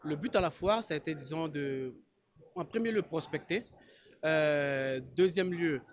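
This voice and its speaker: A man from Côte d'Ivoire talks through his answers slowly, stopping and restarting as he goes. His voice sits a little high for a man. He speaks at a busy trade fair. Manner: hesitant, restarts often, laughs easily. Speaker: exporter, interview guest. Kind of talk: casual spoken interview.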